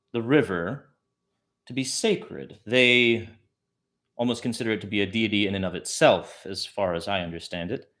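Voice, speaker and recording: A man narrating as a storytelling host, speaking deliberately in short phrases with pauses, as if for a bedtime tale. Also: medium pitch.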